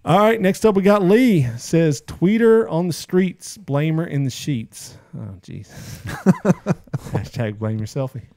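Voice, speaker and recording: A man's voice: fairly high pitch, expressive, slow pace, dark tone, no room sound, studio quality. A man hosting a casual podcast, upbeat as he reads out listener posts and plugs. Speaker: podcast host.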